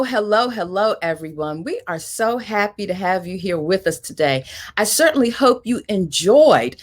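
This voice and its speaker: A woman's voice: medium pitch, a bit bright. A woman hosting a virtual panel discussion, introducing people and topics with emphatic, complimentary delivery. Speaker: panel host and moderator.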